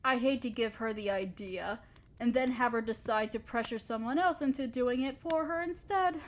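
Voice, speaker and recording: Woman acting as evil queen, fairly high voice, haughty, scheming, imperious, condescending, theatrical audio drama monologue. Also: moderate pace, slight background noise, close to an everyday voice. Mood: worried, reluctant. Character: haughty evil queen, scheming, begrudgingly fond of her helper.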